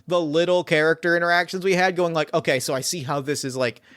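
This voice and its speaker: A man with a high, smooth voice, recorded dry and close on a podcast mic. He talks with eager enthusiasm and rambles, repeating words as he goes. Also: fairly even intonation, fast talker, a bit bright, no echo. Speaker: game master and podcast host. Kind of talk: casual post-game chat.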